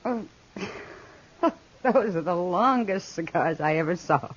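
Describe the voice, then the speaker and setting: A woman's voice: fairly low pitch, expressive, takes it slowly, breathy edge, full character voice. A woman playing a worldly, caring frontier saloon woman, frank and plain-spoken, in 1950s radio western style. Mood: wry, relaxed. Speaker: radio drama actor. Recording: muffled vintage 1950s radio recording.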